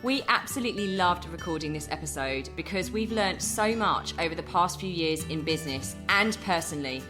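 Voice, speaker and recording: A middle-aged woman co-hosting a podcast, chatting casually in a loud, bubbly, teasing way. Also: on the low side, animated, fairly quick, over music. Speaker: podcast co-host. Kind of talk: casual chat. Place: home in Ibiza.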